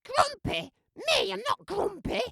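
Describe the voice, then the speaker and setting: A man's voice: very high-pitched, lively intonation, slow, vocal fry, pushed and bright, no echo, studio quality, voice pushed up, full character voice. A man voicing a grumpy, stubborn young monkey in a whiny, squawky way for a storybook. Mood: indignant denial.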